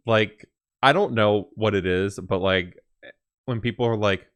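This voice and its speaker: A man's voice: on the low side, measured pace, smooth. A man hosting a gaming reaction, commenting casually and thinking aloud in questions as he watches.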